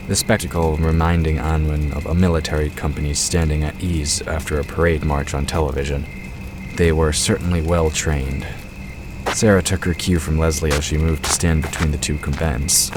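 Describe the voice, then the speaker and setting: A man with an exceptionally low voice narrates a fantasy audio drama. He reads scripted prose as the story's narrator, with music clearly playing behind him. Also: fairly even intonation, normal speed.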